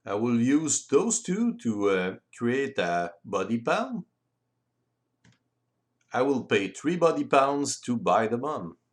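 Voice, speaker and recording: A man with a French accent walks through how a board game is played. His delivery is slow and a little hesitant, as he thinks aloud. His mid-pitched voice moves up and down in a very animated way. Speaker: board game rules presenter. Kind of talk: explanatory walkthrough of game play.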